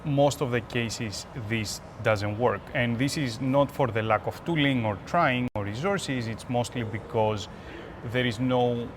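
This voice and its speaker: A man with a Greek accent giving conversational interview answers as an expert guest, pausing between phrases. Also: mid-range voice. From Greece. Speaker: guest, application security expert. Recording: noisy urban ambience behind voice.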